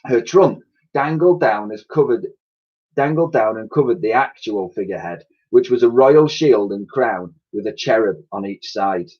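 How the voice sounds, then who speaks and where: A man's voice: lowish voice, expressive, normal speed, husky. A man reading a children's story aloud with expression, on a dull home recording. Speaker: story reader.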